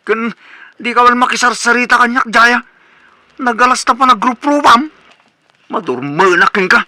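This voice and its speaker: A man's voice: very high voice, moderate pace, pushed and bright, no echo, full character voice. A Filipino man playing an irritable young bachelor son in a comedic radio drama, curt and scolding. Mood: exasperated.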